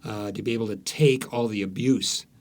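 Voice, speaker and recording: A man's voice: fairly low pitch, fairly even intonation, normal speed. A man speaking as an author being interviewed, telling stories conversationally, searching for words and repeating some for emphasis.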